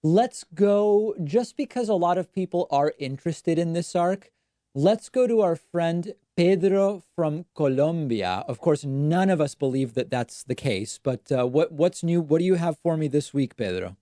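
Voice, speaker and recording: A man's voice: fairly high pitch, lively intonation, measured pace, warm tone, dry recording. A male host of a call-in talk show, asking callers dry, probing questions.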